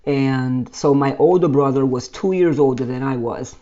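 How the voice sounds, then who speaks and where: A man's voice: mid-range voice, moderate pace, mellow, muffled recording. A man in his forties from Brooklyn, New York, casually telling his life story with many fillers and restarts. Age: 40s.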